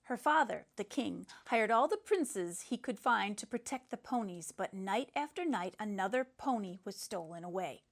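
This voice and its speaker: A woman's voice: medium pitch, lively intonation, moderate pace, hardly any pauses. A woman voicing a sentimental schoolgirl who earnestly and dramatically tells a princess fairy tale from a storybook. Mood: sweet, dramatic.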